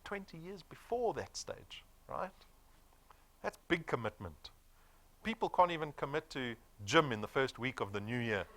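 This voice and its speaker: A South African man around forty delivers a motivational sales presentation. His pitch swings widely and his tone is bright and punchy. He is emphatic and persuasive, often checking in with rhetorical questions. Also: very animated, moderate pace. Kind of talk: motivational business presentation to an audience.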